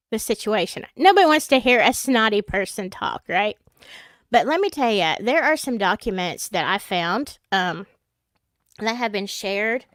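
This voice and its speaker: A woman's voice: a little high, fairly bright tone, no echo, clean studio sound. A woman with a Southern US accent who hosts a true crime livestream. She sounds congested from a sinus infection and talks in a chatty, rambling way, full of fillers and asides. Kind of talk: casual livestream chat.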